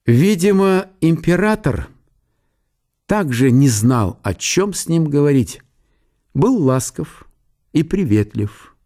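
A man reading a literary historical story as a radio narrator, in measured short phrases. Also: a little high, very animated, mellow. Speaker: narrator of a radio audio play.

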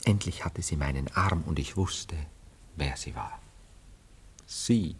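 An actor playing an evasive, sentimental young womanizer about to marry, in an animated German radio play. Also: rumbling low, slight background noise, exaggerated voice. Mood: excited reminiscing. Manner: animated storytelling, quick swings into murmurs and quotes. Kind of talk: German radio play acting, theatrical dialogue.

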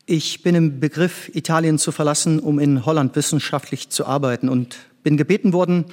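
An actor playing an earnest middle-aged scientist and former pupil in a stage drama, intense and theatrical. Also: male voice, a little high, fairly even intonation, fairly quick, darkish tone, natural voice. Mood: formal, reserved.

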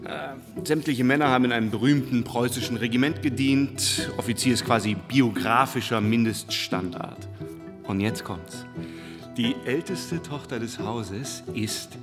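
In a long reverb with music clearly behind, an actor plays an eager male series pitchman. He is chatty and insistent, selling his idea in dramatic bursts like a stage monologue. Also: a little slow, lightly acted. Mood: eager, persuasive. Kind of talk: theatrical radio play monologue.

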